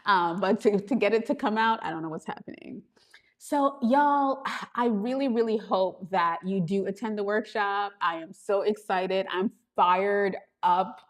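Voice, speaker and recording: A woman with a Trinidadian accent chatting live with viewers as a YouTube coach, bubbly and laughing often, recorded on a small handheld camera. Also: mid-range voice. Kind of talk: casual livestream chat with viewers. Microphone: handheld gimbal camera.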